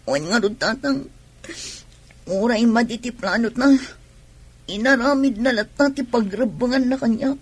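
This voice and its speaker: A woman playing a distraught, pregnant young wife in a radio drama, speaking slowly and plaintively. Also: fairly high pitch, husky. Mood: bitter, hurt. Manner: slow, plaintive delivery. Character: distraught pregnant young wife grieving over injured husband.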